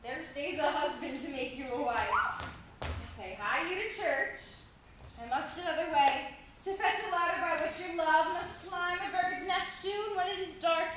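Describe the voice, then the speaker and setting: A woman playing a weary, complaining and teasing old nursemaid in a Shakespeare play, with groaning, drawn-out delivery. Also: very high voice. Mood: scolding, brisk. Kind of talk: amateur Shakespeare play rehearsal. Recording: distant, echoey room with faint noise.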